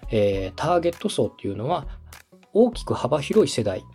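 A man speaks at a medium pitch with animated intonation over faint music. He coaches in a structured, courteous way, laying out each point step by step like a presenter. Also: moderate pace. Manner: polite, instructive, with step-by-step explanations. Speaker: podcast host and coach, a radio director. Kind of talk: structured explanatory coaching on podcast production.

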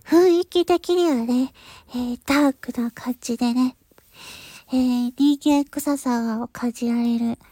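A woman's voice: fairly high pitch, unhurried, dark tone, dry recording, clean studio sound. A woman hosting her own audio channel, making a casual but polite announcement to listeners in hesitant phrases. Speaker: channel host and voice actor.

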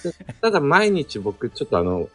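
A man chatting casually as a guest on a live audio show, politely and haltingly, thinking aloud as he goes. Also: medium pitch, huge pitch swings, a bit bright. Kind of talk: casual chat. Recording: background music under the voice.

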